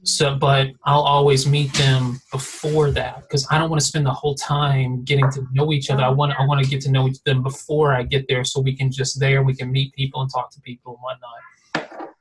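A man's voice: medium pitch, little pitch movement, brisk, a little hiss or hum, low-quality recording. A man tells a story on a casual podcast, his voice staying level with little rise or fall. He rambles along, often restarting and filling the gaps with "um" and "you know.". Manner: rambling, many fillers and restarts. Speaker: design mentor guest.

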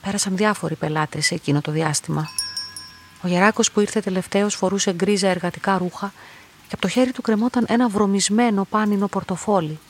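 An actress playing a lonely, watchful young female lab clerk who narrates a radio drama, soft and husky. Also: medium pitch, a little hiss or hum, natural voice. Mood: watchful, introspective. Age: young adult.

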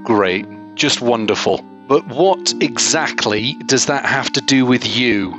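A man's voice: mid-range voice, takes it slowly, over music, natural voice. A man playing a weary, exasperated authority figure questioning someone in a dramatised fan fiction reading.

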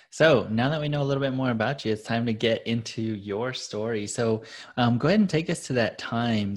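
Encouraging man hosting an interview. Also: on the low side. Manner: encouraging, casual with "um" fillers. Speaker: podcast host.

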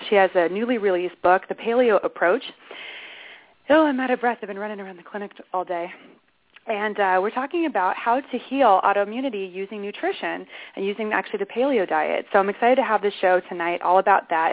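A woman hosting a health radio talk show, chatting loosely with many fillers and restarts. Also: medium pitch. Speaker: radio show host, clinician. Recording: tinny phone-like sound.

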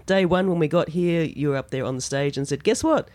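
A woman's voice: low voice, fairly quick, darkish tone, no echo. An Australian woman in her fifties hosting a podcast, bantering and laughing often in an interview on a cruise ship. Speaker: podcast host.

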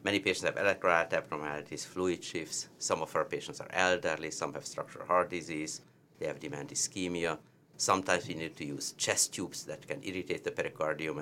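A man's voice: extremely low pitch, steady tone, a little fast, sharp tone, slight background noise. A man with a heavy Hungarian accent, a cardiologist explaining treatment with emphatic repetition of key points. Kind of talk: medical teaching discussion.

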